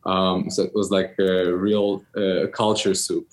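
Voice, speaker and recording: Man, 30s, from Turkey, low voice, hesitant interview guest, lots of ums and self-corrections. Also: level tone, a little slow. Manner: hesitant, many ums and restarts. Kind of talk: casual interview answers.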